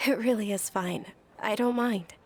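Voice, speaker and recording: A woman playing a frail, ailing young noblewoman in a video game, speaking softly, politely and with gentle resignation. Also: mid-range voice, normal speed, a little hiss or hum, lightly acted. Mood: resigned, gentle. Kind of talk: video game character dialogue.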